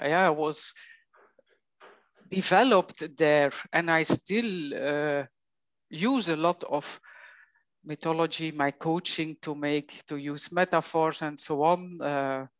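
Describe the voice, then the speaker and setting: Slow-speaking Flemish Belgian woman. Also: deep voice, dry recording. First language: Dutch. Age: middle-aged. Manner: slow, thoughtful, with frequent fillers. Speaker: coach. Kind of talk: conversational interview.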